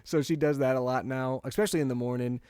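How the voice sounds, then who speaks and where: A young man in casual podcast conversation, talking loosely and laughing easily as he tells stories. His voice sits at a middle pitch and sounds dry and close, with no room around it. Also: quick pace, smooth, no echo. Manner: chatty, laughs easily, animated when telling stories. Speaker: podcast co-host. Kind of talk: casual chat.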